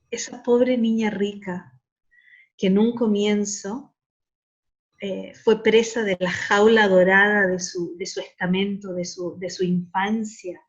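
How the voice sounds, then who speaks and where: The audio is a little muffled and lo-fi. A middle-aged woman speaks at an average pitch in a reflective interview style. She is earnest, often restarting phrases as she looks for the exact word. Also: slightly rough. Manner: earnest, searching for words with repeated starts. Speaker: academic interviewee.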